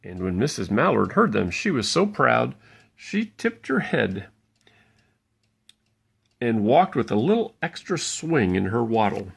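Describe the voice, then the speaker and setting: A man narrates a storybook for small children in a playful way. His voice is husky and gravelly, with lively swings in pitch as he pauses to point things out. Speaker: parent reading a bedtime story aloud.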